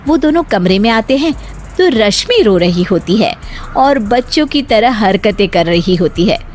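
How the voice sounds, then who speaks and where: A woman narrating a cartoon story in a lively storytelling way. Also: mid-range voice, a little slow, slight background noise. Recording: faint music behind.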